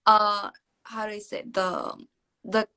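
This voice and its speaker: A woman's voice: mid-range voice, flat delivery, slow, bright, forceful tone, clean tone. A woman from Indonesia, a guest in a casual live-stream chat, who answers thoughtfully and hesitantly with many fillers and laughs often. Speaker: interview guest.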